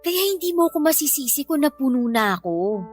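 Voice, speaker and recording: A woman's voice: high voice, big pitch swings, fairly quick, darkish tone, soft background music. A woman playing an exasperated young mother who complains emphatically about her partner in a Filipino radio-style drama. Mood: resentful, fed up.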